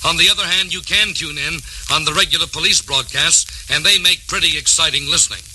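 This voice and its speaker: A man's voice: a little high, bright, forceful tone, poor audio. A man with an American accent reading scripted 1950s promotional narration as an announcer on an old record. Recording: 1950s vinyl record with faint noise.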